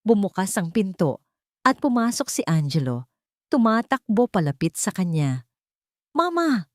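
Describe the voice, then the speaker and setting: A woman's voice: mid-range voice, sing-song, moderate pace, no room sound, studio quality. A woman with a Filipino accent narrating a scripted dramatic story.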